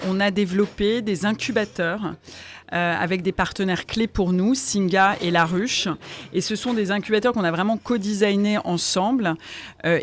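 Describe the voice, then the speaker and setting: A woman answering as an interview guest, in an articulate, explanatory way. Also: medium pitch. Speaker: interview guest, foundation president.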